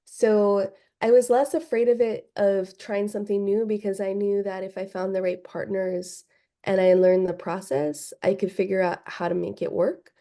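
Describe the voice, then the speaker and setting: Mellow-voiced woman from Hawaii. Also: medium pitch, level tone, normal speed. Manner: thoughtful, many ums and restarts. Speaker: film director, guest. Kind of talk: casual interview answers.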